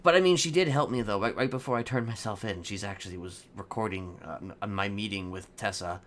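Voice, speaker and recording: A man improvising in-character roleplay chat, speaking haltingly with trailing pauses. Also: lowish voice, a bit bright. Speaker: streamer playing a roleplay character.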